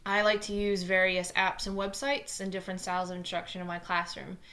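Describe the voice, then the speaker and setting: Fast-talking New Jersey woman teacher. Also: lowish voice, level tone, fairly bright tone. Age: 20s. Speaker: math teacher. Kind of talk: scripted lesson narration.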